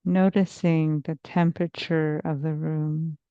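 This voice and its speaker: A woman therapist leading a guided meditation in a soothing, measured, hypnotic manner with long pauses, on slightly muffled audio. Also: low voice, little pitch movement, clear, resonant tone, no echo. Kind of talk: slow guided meditation narration.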